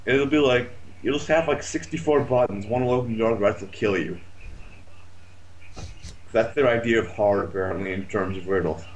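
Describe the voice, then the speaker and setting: Male, somewhat low pitch, sardonic sweary complainer, casual gamer talk, faintly noisy amateur recording. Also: moderate pace. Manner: sardonic, foul-mouthed complaining. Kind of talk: casual chat about a video game.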